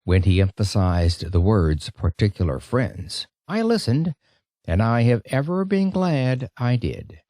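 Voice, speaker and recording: A man narrating an audiobook as an expressive first-person storyteller. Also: on the low side, measured pace, dry recording, clean studio sound.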